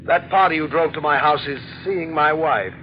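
An actor playing a coldly polite, menacing jealous husband in an old-time radio mystery, speaking in a clipped, mockingly calm way. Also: male voice, fairly high pitch, moderate pace, natural voice. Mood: coolly probing. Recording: muffled, slightly noisy old radio recording.

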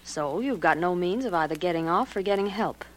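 Actress playing a low-voiced, menacing jealous wife. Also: female voice, expressive, natural voice. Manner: coolly threatening, deliberate. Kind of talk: 1940s radio acting. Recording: noisy old 1940s radio recording.

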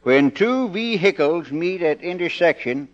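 A small-town marshal in a 1950s radio comedy. He is folksy, relaxed and joking, with a slightly high voice on a muffled old recording. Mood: easygoing. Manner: folksy, unhurried, kidding.

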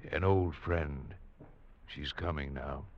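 Oily secretive innkeeper, very low pitch, formal, polite, old radio drama, dull sound. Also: male voice, drawn-out words, heavily acted. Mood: sly, formal. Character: unctuous, secretive male village innkeeper. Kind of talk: 1940s radio drama acting. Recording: dull vintage radio recording.

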